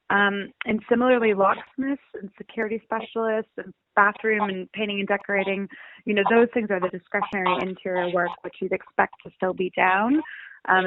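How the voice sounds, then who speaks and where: A woman speaks over a narrow, tinny telephone line with some noise on it. She is a company executive being interviewed, explaining things in a measured, conversational way. Her pitch sits in the middle range. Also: a bit bright. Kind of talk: phone interview, explanatory business talk. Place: on a telephone call.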